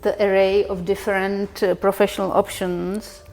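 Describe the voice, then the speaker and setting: A woman's voice: medium pitch, flat delivery, moderate pace, a little mellow. A woman hosting a podcast, asking questions in a chatty, encouraging conversational interview style. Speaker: podcast host.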